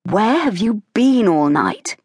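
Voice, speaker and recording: A woman voicing a kind young male army officer who speaks in a gentle, caring way. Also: medium pitch, big pitch swings, slow pace, breathy edge, dry recording, clean studio sound, pitched above the performer's own voice, heavily acted.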